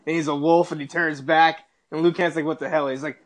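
Male co-host, distinctly high register for a man, relaxed conversational podcast chat. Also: brisk. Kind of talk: casual chat.

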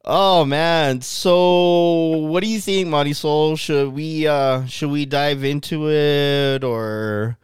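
A man's voice: on the high side, slow pace, hardly any pauses, clean tone, dry recording, studio quality. A man co-hosting a podcast in casual chat, laid-back, swearing freely and laughing often. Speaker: podcast co-host.

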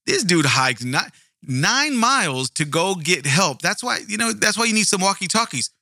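Brief: animated, foul-mouthed male storyteller, projecting voice, somewhat high. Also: normal speed, no room sound, clean studio sound. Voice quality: booming projecting voice. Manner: animated, profane, repeats words. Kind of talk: casual true-crime storytelling.

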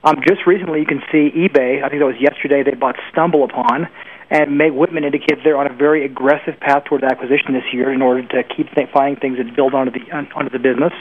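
A middle-aged man speaking as an industry pundit in a conversational commentary, halting and often correcting himself. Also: medium pitch, steady tone. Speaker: guest, internet industry pundit. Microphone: telephone call. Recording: tinny phone line, faint noise.

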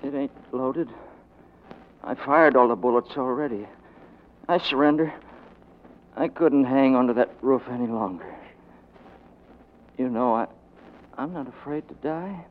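An actor playing a worn-out condemned fugitive, an idealistic anarchist who rambles earnestly, in 1940s radio drama style. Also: male voice, medium pitch, measured pace, pauses often, slight background noise, close to an everyday voice. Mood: exhausted surrender. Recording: muffled, phone-like 1940s radio recording.

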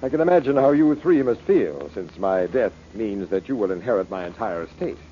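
A man's voice: fairly low pitch, expressive, fairly quick, dark tone, close to an everyday voice. Male actor, imperious moneyed uncle, sardonic and cold; muffled, lightly hissy vintage radio sound. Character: rich, bitter, imperious uncle taunting his heirs. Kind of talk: 1940s radio acting.

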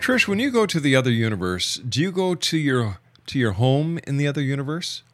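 A man's voice: medium pitch, big pitch swings, brisk, music in the background. A man hosting a radio talk show, questioning his guest in a direct, skeptical way. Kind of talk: probing radio interview.

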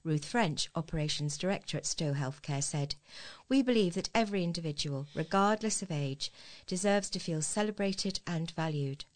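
A woman reads scripted local news as a volunteer newsreader. Her pitch is a little low for a woman, and her delivery is measured, clearly read from the page. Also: animated.

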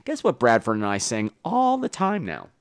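A man's voice: mid-range voice, huge pitch swings, moderate pace, smooth. A man hosting a podcast solo in casual, rambling talk, with a campy, self-mocking, bawdy manner. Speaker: podcast host.

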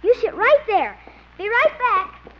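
Polite little boy, very high lively voice, sweetly earnest, radio comedy, hissy old recording. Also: a little slow, muffled recording, full character voice. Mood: angelically polite. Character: eager, over-polite little schoolboy angling for treats. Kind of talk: 1950s radio comedy acting.

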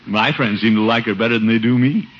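A man's voice: medium pitch, quick pace, noisy background, lightly acted. A man playing a fretful, pompous middle-aged uncle, with hesitant, theatrical delivery in a 1950s radio comedy. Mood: wounded. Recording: muffled vintage radio recording.

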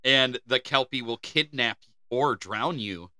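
A man's voice: mid-range voice, measured pace, pushed and bright, no room sound. An American man co-hosting a comedy podcast, reading folklore aloud and joking with casual swearing. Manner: reads folklore aloud, then riffs with swearing and laughs. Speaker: podcast co-host.

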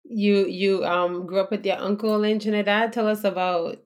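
A woman's voice: medium pitch, flat delivery, normal speed, a little mellow, clean tone. Woman hosting a podcast interview. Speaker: guest podcast host. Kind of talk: conversational interview.

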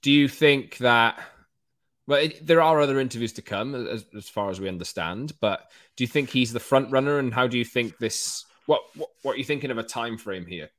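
A Welsh man hosting a football podcast, talking in a quick, chatty, energetic way. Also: medium pitch, no echo, studio quality. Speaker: podcast host. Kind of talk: casual football podcast chat.

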